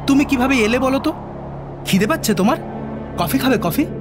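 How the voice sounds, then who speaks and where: A man playing a nervous, haunted young scientist in an audio drama, agitated and high-strung. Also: lively intonation, brisk, music in the background. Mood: nervous rambling.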